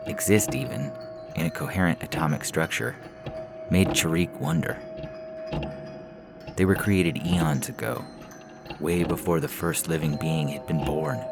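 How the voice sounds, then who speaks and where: Super deep guy reading sci-fi story, lots of vocal fry, music playing behind him. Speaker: story reader on a fiction podcast. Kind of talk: scripted science fiction narration. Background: instrumental music.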